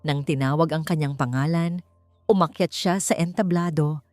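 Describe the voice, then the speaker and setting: A woman's voice: deep voice, normal speed, darkish tone, dry recording. A woman reading a scripted story as a dramatic audiobook narrator. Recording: music bed under the voice. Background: background music.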